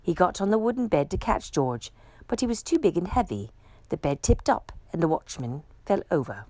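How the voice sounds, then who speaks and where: A woman reading a children's picture book aloud with expressive, dramatic storytelling. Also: on the low side, normal speed, no room sound.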